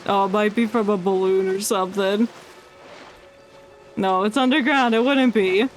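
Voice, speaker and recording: A woman's voice: mid-range voice, fairly even intonation, moderate pace, poor audio. A woman giving casual live commentary on a game, thinking aloud as the game music plays behind. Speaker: game streamer.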